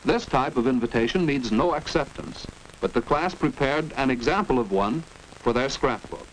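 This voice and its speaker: Lively American man narrating an old educational film. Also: medium pitch, moderate pace. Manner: didactic, precise enunciation. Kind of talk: 1940s instructional film narration. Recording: noisy 1940s educational film soundtrack.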